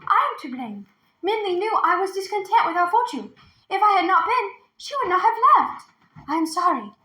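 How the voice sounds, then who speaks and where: A woman's voice: very high-pitched, very animated, normal speed, sharp tone, echoey room, voice pushed up, exaggerated voice. A woman reading a storybook as a remorseful village mother, earnest and pleading. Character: remorseful village mother, humbly confessing to her husband.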